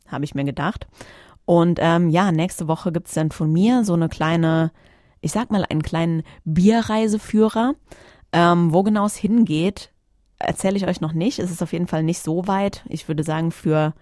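A woman's voice: deep voice, a little mellow, no room sound. A woman hosting a podcast alone, chatting informally in a studio and often searching for her words. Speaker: podcast host. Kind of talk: casual solo podcast announcement.

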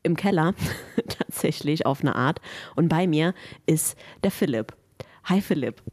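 A woman's voice: deep voice, lively intonation, measured pace, a little mellow, no echo. Woman podcast host, chatty, friendly questions, dry close sound. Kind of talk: casual interview. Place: basement room.

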